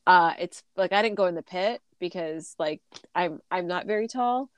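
A woman's voice: on the low side, moderate pace, no echo. A woman co-hosting a casual podcast, talking chattily with many fillers and laughs, a little stuffy from a recent illness. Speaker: podcast co-host. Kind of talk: casual chat.

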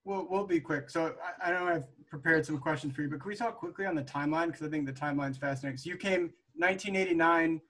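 A male podcast host asks questions in a relaxed, casual way. He often repeats words as he goes. His voice sits slightly high for a man. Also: fast, hardly any pauses. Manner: friendly, stammers and repeats words. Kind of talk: casual remote interview.

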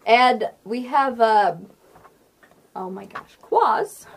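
A woman's voice: a little high, extremely slow. A giggly, playful young woman talking casually as game master on a tabletop game podcast among friends.

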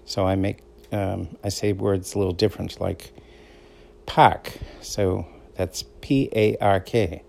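A middle-aged man with a Boston accent talks through a casual solo podcast. His low, gravelly voice has a mellow, dark tone, and he rambles easily from one thought to the next. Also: fairly even intonation, slow pace. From Boston area, USA. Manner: meandering, conversational, easygoing storyteller. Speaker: podcast host.

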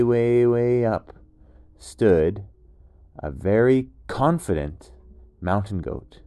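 A man telling a children's bedtime story in a gentle storytelling style, repeating words for emphasis. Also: low-pitched, no echo.

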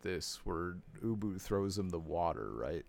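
A man co-hosting a podcast, chatting casually and excitably, with jokes and plenty of swearing. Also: low-pitched, unhurried, creaky. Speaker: podcast co-host. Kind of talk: casual unscripted chat about a cartoon series.

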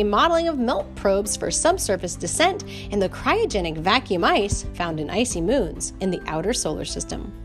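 A woman hosting a podcast, reading a scripted introduction and then welcoming a guest. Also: medium pitch. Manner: reads announcements and spells out web addresses slowly. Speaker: podcast host.

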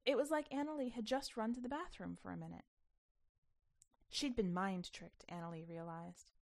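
Woman, story narrator, expressive delivery, emphatic phrasing, medium pitch, childlike wonder. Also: moderate pace, vocal fry. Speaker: podcast host reading a short story.

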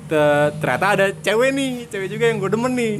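A young man from Purwakarta, Indonesia, with a notably high voice for a male. He talks as a podcast host in loose, slangy banter. His storytelling is animated and often breaks into giggles. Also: quick pace, background noise. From Purwakarta, West Java, Indonesia. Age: young adult. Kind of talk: casual joking chat.

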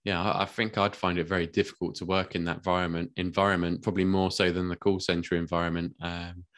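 A man's voice: sits low, level tone, fast talker, fairly bright tone. A man hosting a podcast interview, asking questions in a hesitant, supportive way with many repeated words. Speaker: podcast host.

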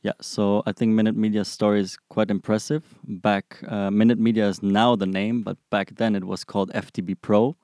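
Low, creaky-voiced young man from Germany. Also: flat delivery, fairly quick, hardly any pauses, darkish tone, no room sound, studio quality. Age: 20s. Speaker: interview guest, startup employee. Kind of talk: conversational interview answers.

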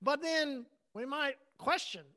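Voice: high voice, animated, takes it slowly, bright, forceful tone. A podcast host in casual chat, asking probing questions with lots of fillers like "you know" and "right".

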